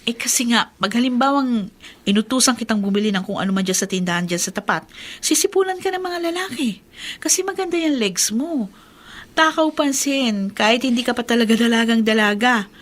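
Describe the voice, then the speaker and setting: Firm, protective wife. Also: female voice, on the high side, lively intonation, brisk. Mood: firm, instructing. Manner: motherly, instructing, firm. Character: protective, firm adult wife and household mistress. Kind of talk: radio drama acting.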